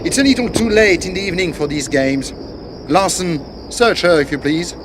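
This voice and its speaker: A man voicing a pompous, excitable French villain in a video game, theatrical and condescending, with mock courtesy. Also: sits high, normal speed, background noise, close to an everyday voice. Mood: mock-courteous. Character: pompous, excitable French male villain, scheming treasure hunter. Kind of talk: video game cutscene voice acting.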